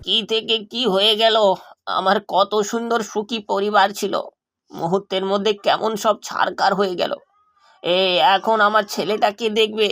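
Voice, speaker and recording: High-voiced, fretful village father played by a man. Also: steady tone, moderate pace, sharp tone. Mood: distraught, sad. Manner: fretful, lamenting. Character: poor, troubled village husband and father.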